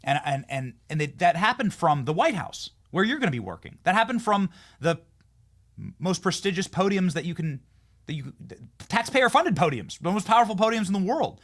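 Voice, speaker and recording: A man's voice: high-pitched, big pitch swings, a little fast, a bit bright. A man hosting a political interview show, talking emphatically and often repeating words as he builds a point. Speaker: show host.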